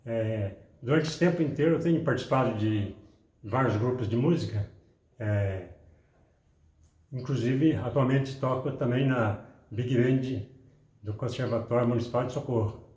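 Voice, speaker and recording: A man from Minas Gerais, a composer and saxophonist, introduces himself in a hesitant, measured way with frequent pauses. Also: mid-range voice, darkish tone, reverberant. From Minas Gerais, Brazil. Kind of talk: personal introduction.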